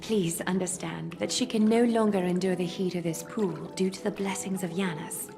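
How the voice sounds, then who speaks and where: A woman voicing a composed, regal leader in a video game, with formal, measured and authoritative delivery. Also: lowish voice, steady tone, music in the background, natural voice.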